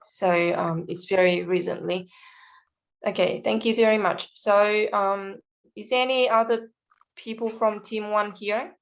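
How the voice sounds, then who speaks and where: A woman teacher speaks slowly and patiently on a video call, pausing often with polite fillers. The sound is dull and lo-fi. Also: medium pitch, fairly even intonation, gravelly. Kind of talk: online class, calling on students.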